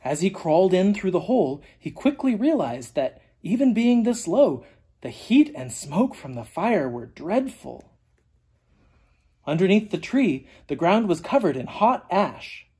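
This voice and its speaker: A storyteller reading a children's book aloud in an animated, deliberate way with dramatic emphasis. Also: medium pitch, breathy edge. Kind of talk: expressive read-aloud narration for children.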